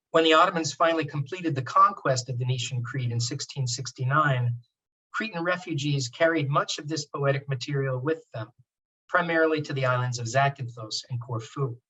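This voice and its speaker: A man giving a formal, prepared academic speech to close an opening address, reading at a steady pace. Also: medium pitch, distant mic, low-quality recording.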